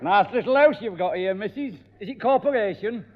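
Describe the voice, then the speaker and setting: A blunt Lancashire fiddler. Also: male voice, sits high, expressive, fairly quick. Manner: blunt, homely northern chatter. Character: Lancashire fiddler posing as Italian serenader. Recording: echoey old radio studio recording, dull.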